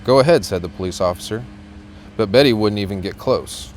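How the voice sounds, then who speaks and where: A man's voice: deep voice, a little fast, slight background noise. A middle-aged American man reading a children's storybook aloud as a guest reader. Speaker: guest reader, police officer.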